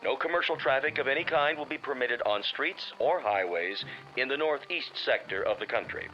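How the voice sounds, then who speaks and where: A man's voice: mid-range voice, expressive, moderate pace. An American actor playing a formal, grave male announcer reading an emergency bulletin through a radio filter in a drama. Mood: grave, official. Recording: dull, noisy old radio broadcast.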